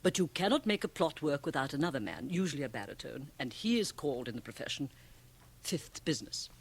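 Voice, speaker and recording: Worldly, low-voiced woman. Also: very animated, a little fast, breathy edge, exaggerated voice. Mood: explaining, knowing. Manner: emphatic, theatrical, probing. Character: shrewd, worldly, provocative Swiss woman, blunt and teasing. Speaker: radio drama actress. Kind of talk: radio drama acting.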